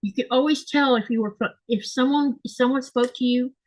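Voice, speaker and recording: A woman's voice: high voice, fairly quick, darkish tone, a little hiss or hum, low-quality recording. A woman with a Southern US accent, the host, chatting casually while she sews. She rambles, corrects herself and uses lots of fillers. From Florida, US. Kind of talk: casual chat while sewing, reading comments. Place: home craft room.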